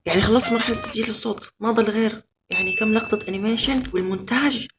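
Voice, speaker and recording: A man's voice: high voice, rapid-fire, background noise. A young man with a Levantine Arabic accent, talking casually in a self-mocking video diary and sometimes slipping into jokingly formal Arabic. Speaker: amateur animator and vlogger.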